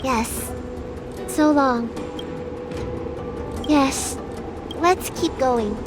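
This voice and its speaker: A woman voicing a kind, very young-sounding noble lady in a video game dub, tender and unhurried. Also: sits high, music in the background. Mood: resigned. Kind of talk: dramatic English game dub dialogue.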